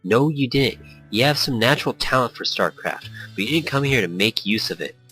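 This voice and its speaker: A man's voice: medium pitch, animated, a little fast, sharp tone, faint music behind, pitched above the performer's own voice, rough recording. A man voicing a determined young female teammate who speaks bluntly and demands answers. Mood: stern.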